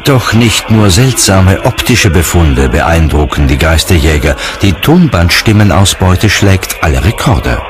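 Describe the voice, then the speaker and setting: A man's voice: sits low, very animated, a little slow, hardly any pauses. A man reading scripted narration for a documentary.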